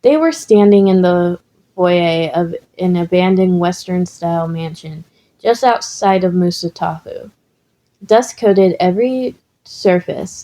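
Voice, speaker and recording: Female narrator for comic fiction: lowish, mellow voice, deliberate delivery. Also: poor audio. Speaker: fan fiction reader. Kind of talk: scripted story narration.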